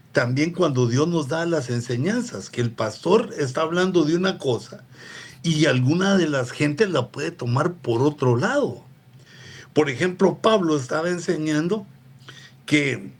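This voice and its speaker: A man preaching a relaxed, conversational sermon on a radio program, often turning to his listeners with asides. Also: fairly high pitch, husky. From Latin America. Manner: unhurried, digressive, with frequent asides to listeners.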